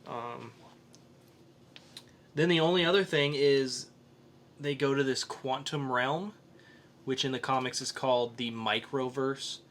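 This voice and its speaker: Bright-voiced man chatting as a podcast host. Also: medium pitch, steady tone, measured pace, long pauses, a little hiss or hum. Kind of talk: casual film review chat.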